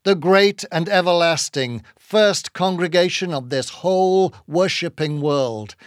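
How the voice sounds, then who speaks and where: A man's voice: a little high, measured pace, dry recording, clean studio sound, pitched above the performer's own voice, close to an everyday voice. A man voicing an earnest young sailor who is defending his friend in an audiobook reading. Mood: earnest, rising.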